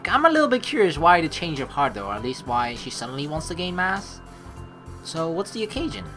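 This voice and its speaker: Woman streamer reading a visual novel out loud, super animated, bright lowish voice, chatty and jokey. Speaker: let's play host. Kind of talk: playthrough reading aloud with casual commentary. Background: game music.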